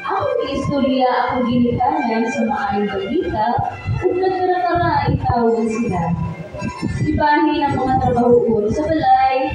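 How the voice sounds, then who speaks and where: A woman playing a young woman who tells her homecoming love story in a radio drama. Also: on the high side. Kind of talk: radio drama narration and dialogue. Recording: very echoey, distant, music underneath.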